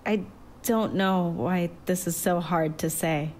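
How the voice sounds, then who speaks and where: A thoughtful, anxious growing girl in a storybook tale, voiced by a woman narrator. She is quiet and hesitant, feeling her way slowly through each sentence, over a faintly noisy recording. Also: fairly high pitch, sing-song.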